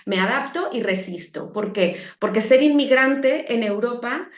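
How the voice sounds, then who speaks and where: A woman's voice: a little high, lively intonation, a little slow. A middle-aged woman with a Mexican accent, an academic giving a conversational, reflective presentation. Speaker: academic, conference speaker. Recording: echoey room, muffled dull audio.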